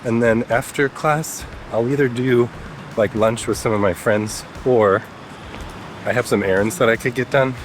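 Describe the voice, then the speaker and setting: A man's voice: medium pitch, normal speed, a little hiss or hum. A young man from the Chicago area with a stuffed-up, nasal voice from a cold, chatting casually as he walks through the city. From Chicago area, USA. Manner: relaxed, chatty, talks while walking. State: congested from a cold. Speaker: vlogger. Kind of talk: casual vlog chat. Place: outdoors on city streets. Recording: with music playing underneath.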